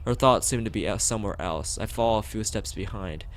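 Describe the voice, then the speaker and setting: A man's voice: fairly low pitch, brisk, a little hiss or hum. A man reading a visual novel aloud as a streamer, acting out dialogue, dropping in casual asides and sometimes tripping over words. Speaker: let's play streamer.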